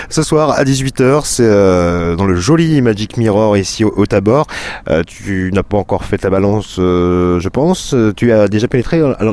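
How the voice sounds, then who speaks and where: A male interviewer asks a musician about her work in an unhurried way, with the odd hesitation. His voice sits at a middle pitch for a man. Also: darkish tone. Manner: unhurried questions with some hesitation. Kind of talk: radio interview.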